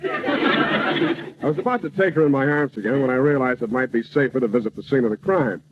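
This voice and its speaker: An actor playing a hard-boiled, wisecracking private eye with cool deadpan delivery in an old-time radio comedy. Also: male voice, medium pitch, fairly quick, natural voice. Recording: noisy, dull old radio broadcast recording.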